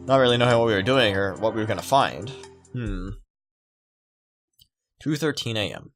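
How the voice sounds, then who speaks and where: A man's voice: on the low side, animated, normal speed, faint music behind. A man hosting a gaming video, reading a horror visual novel aloud as dramatic narration.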